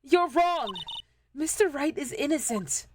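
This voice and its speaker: A woman's voice: sits high, animated, unhurried, noisy background. A woman playing an earnest, loyal young female defense attorney in an amateur video game voice-over. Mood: indignant.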